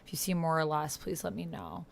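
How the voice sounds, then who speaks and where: A woman's voice: low voice, steady tone, brisk, creaky, slight background noise. A woman hosting an online roleplaying game playthrough, explaining things casually and informally as she thinks aloud. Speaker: host and player of an online text roleplaying game. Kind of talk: casual explanatory chat while playing, with some reading aloud.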